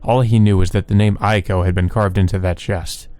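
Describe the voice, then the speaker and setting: A man's voice: lowish voice, steady tone, a little fast, dark tone, no echo. A man reading visual novel narration aloud as a let's play host.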